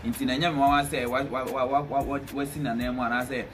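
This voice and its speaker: A young Ghanaian man giving casual football punditry, speaking in a halting way and often repeating words. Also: medium pitch, flat delivery, noisy background. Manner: halting, repetitive. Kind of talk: casual sports punditry, mixing English with a local language. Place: small bare indoor room, seated at a table. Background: music.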